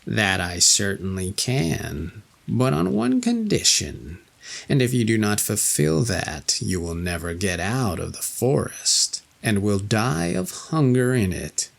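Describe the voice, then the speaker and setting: A cunning old witch from a storybook, voiced by a male narrator. She bargains formally and insistently. The voice is husky, with a gravelly crackle. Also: lowish voice, sing-song, takes it slowly, exaggerated voice. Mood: sly, threatening.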